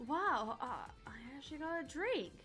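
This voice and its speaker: A woman's voice: sits high, very animated, moderate pace, fairly bright tone, soft background music. A woman co-hosting a gaming playthrough, chatting casually. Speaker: gaming channel co-host. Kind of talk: casual let's play chat.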